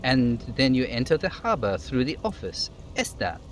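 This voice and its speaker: A man's voice: mid-range voice, big pitch swings, moderate pace, a little hiss or hum, voice pushed up, lightly acted. Man putting on a jolly, folksy union striker. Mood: breezy.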